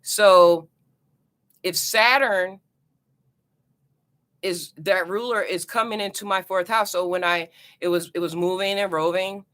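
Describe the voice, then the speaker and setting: A woman's voice: lowish voice, steady tone, measured pace, long pauses, fairly bright tone. A woman with a New Jersey accent talking to camera about astrology in a loose, chatty teaching style, repeating herself and adding "you know" asides. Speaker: astrology vlogger. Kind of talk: casual teaching talk to camera.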